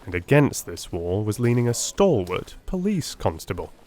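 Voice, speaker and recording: Male narrator for classic fiction audiobook: dramatic, literary delivery, slightly low register, wide pitch movement. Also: sing-song, dark tone. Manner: theatrical, literary, measured.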